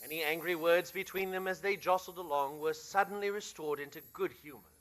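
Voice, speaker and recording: A man narrating a scripted radio play in an expressive, theatrical storytelling style. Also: a little high, a little fast.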